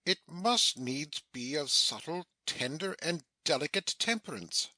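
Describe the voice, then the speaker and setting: A pleasant courtier in a Shakespeare play, voiced by a man. His tone is strikingly bright and rather high for a man, recorded dry. He phrases things in an earnest, measured way. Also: expressive, no room sound, full character voice. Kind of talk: dramatic Shakespeare audiobook reading.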